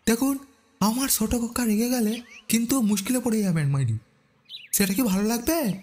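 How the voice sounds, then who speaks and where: A man's voice: very high voice, moderate pace, darkish tone, pitched above the performer's own voice. A man playing a sarcastic, impatient police chief who needles people in a horror audio story. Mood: menacing. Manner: mocking, needling, colloquial.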